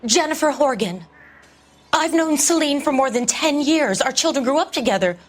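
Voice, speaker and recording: A woman playing a prim, gossipy 1940s mother and neighbor in a crime game, measured and disapproving. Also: high voice, expressive. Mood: prim, confiding. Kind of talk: period crime game acting.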